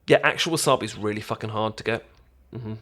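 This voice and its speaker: A British man playing a caring, chatty best friend in an intimate ASMR roleplay, talking casually with halting, stammering explanations. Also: lowish voice, fairly bright tone, close to an everyday voice. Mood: relaxed, instructive. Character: caring, chatty male best friend teaching sushi making.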